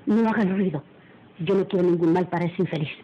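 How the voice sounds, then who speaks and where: A woman's voice: on the low side, brisk, lightly acted. An actress playing a cold, domineering lady of the house in an old radio drama, with an imperious, clipped manner. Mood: commanding. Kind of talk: old Latin American radio drama acting. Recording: muffled old radio serial, background noise.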